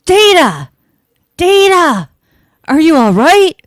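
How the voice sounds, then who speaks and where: Earnest, emphatic starship engineer for a sci-fi radio play, woman playing the man. Animated, measured pace. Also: very high voice, no room sound, studio quality, full character voice. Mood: alarmed. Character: concerned male starship engineer, earnest and practical. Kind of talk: live script read, sci-fi radio theatre.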